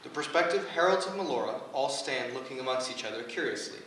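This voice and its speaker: A man's voice: medium pitch, lively intonation, fast talker, fairly bright tone. A man reading stage directions as scripted narration at a staged reading of a play. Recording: very echoey room, distant, faint noise.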